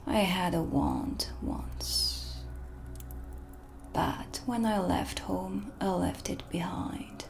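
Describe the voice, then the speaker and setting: A woman playing a proud, mysterious dark witch in a whispered cinematic ASMR roleplay, with a breathy, hushed, deliberate delivery. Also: lowish voice, exaggerated voice. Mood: reflective, assured. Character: self-assured dark witch, mysterious and proud, in her shop. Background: music, dark ambience.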